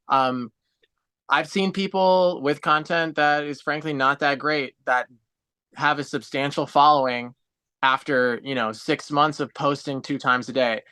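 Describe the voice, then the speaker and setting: A man's voice: fairly high pitch, a bit bright, dry recording. A man in his twenties on a video call, chatting casually and explaining things at length in an earnest way. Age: 20s. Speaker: guest on a livestream.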